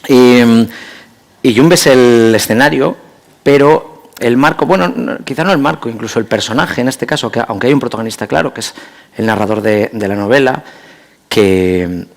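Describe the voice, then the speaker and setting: Creaky-voiced male interviewer. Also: mid-range voice, moderate pace. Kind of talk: conversational literary interview.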